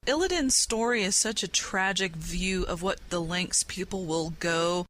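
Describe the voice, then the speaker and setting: A woman's voice: on the low side, a little slow, a bit bright. A woman hosting a conversational panel discussion in an earnest, reflective way. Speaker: podcast host. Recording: noticeable background noise.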